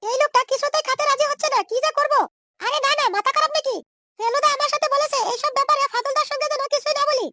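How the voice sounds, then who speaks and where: Chattering squeaky cartoon nurse. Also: female voice, steady tone, quick pace, sharp tone, no echo, clean studio sound, soft background music. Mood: confiding, worried. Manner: fussy, reassuring, insistent. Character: caring, chatty young female hospital nurse. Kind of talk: comedy cartoon dub.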